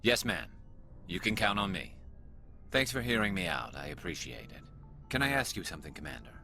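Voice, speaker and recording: An actor playing a frustrated, earnest and intense alien soldier loyal to the crew in a video game. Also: male voice, fairly low pitch, big pitch swings, fairly quick, vocal fry, sharp tone, faint music behind, heavily acted. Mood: respectful, wary.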